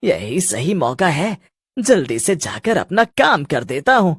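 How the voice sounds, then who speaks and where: A woman puts on a young male village good-for-nothing for a Hindi cartoon. The voice is low and rough-edged, swooping widely as it wheedles and taunts. He sounds smarmy, spiteful and full of schemes. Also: big pitch swings, unhurried, no room sound, clean studio sound, exaggerated voice. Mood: bitter, scheming. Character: smarmy, spiteful young village loafer man, pestering suitor.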